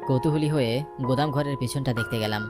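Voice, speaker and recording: A man's voice: medium pitch, fast. Male ghost story narrator over music. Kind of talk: scripted first-person ghost story narration.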